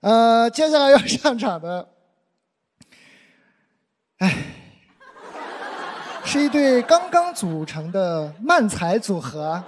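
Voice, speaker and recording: A man's voice: sits high, big pitch swings, slow pace, pauses often, noisy background. A middle-aged man hosting a comedy show on a studio stage, introducing the next act in an easygoing way. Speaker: show host. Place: large indoor studio stage. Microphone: handheld mic.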